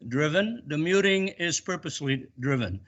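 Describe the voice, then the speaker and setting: Man trustee, loud and insistent, formal debate register, muffled lo-fi call audio. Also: fairly high pitch, lively intonation, normal speed. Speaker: school board trustee. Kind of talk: formal board meeting debate. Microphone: video call.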